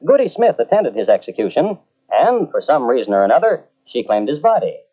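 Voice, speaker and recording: A man giving wry, measured scripted narration in an old radio drama. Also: medium pitch, very animated, faint music behind. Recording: muffled old radio broadcast.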